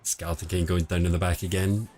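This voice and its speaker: A man streaming casual commentary on a mobile game match, quiet and relaxed, answering chat between calls of the play. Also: fast talker, slight background noise. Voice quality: quiet, low-level voice. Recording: home stream audio with faint music behind. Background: faint background music.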